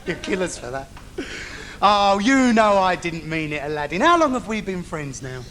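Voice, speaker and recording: A man's voice: high voice, very animated, normal speed, bright, forceful tone, full character voice. A male actor playing a cheeky, camp, bitchy comic sidekick in an adult stage pantomime, calling out to the audience. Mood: bitchy banter. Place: theatre stage. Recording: noisy live theatre stage recording.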